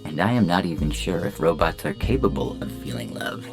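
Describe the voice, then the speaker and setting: A man's voice: sits low, expressive, a little fast. A man voicing a shy, naive and earnest robot in a science fiction audio story, hopeful and lovestruck, speaking carefully with music underneath. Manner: earnest, wide-eyed, careful wording without contractions.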